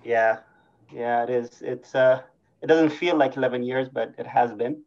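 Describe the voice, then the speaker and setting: A man from Eritrea, a guest on a podcast, speaking in a relaxed, thoughtful way and drawing out his words. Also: mid-range voice, normal speed. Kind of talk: casual interview. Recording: dull lo-fi audio.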